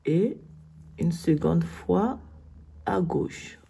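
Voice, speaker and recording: A woman with a cold, hoarse, slowly explaining knitting stitches step by step in a tutorial. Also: lowish voice, warm tone. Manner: slow, step-by-step instructions.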